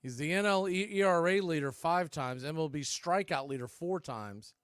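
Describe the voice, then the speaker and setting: Higher-pitched man calling baseball play-by-play. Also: lively intonation, moderate pace, clean tone, no echo, clean studio sound. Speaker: baseball play-by-play announcer and host. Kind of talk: live play-by-play commentary.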